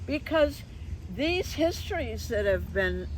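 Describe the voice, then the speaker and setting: A woman's voice: fairly high pitch, very animated, takes it slowly, background noise. A woman hosting a conversational interview, explaining things in a slightly hesitant, roundabout way. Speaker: interviewer and event organizer.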